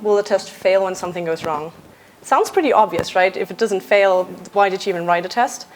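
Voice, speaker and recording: A young adult woman from Austria, a software engineer giving a conference talk in a casual, lightly joking way with frequent uhs. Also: mid-range voice, slight background noise, rough recording. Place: conference stage.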